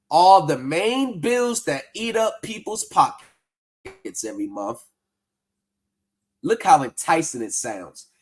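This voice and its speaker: A man with a slightly raised speaking pitch talks in a loose, conversational way. He reads lines from a form aloud, then breaks them down with blunt skepticism. He sounds like a livestream host talking straight to his viewers. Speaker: livestream host debunking scams. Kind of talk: casual explanatory commentary.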